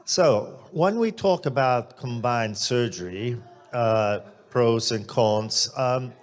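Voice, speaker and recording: A man moderating a panel of eye surgeons, speaking in a measured way with frequent fillers and some throat clearing. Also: medium pitch, expressive.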